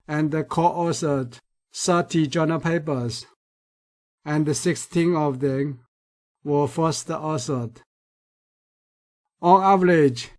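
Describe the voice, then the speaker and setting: Chinese-accented male researcher, lecture voice-over, unhurried and careful, slightly raised pitch. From China. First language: Mandarin Chinese. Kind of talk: recorded lecture.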